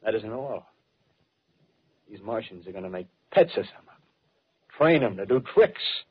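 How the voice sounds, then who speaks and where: A dull, muffled old radio recording of a fanatical American soldier. His voice is husky and his talk is rough, clipped and insistent. He is a scheming survivor in a 1930s radio play. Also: lowish voice, animated, normal speed. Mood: cynical. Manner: colloquial tough-guy talk, clipped phrases, insistent.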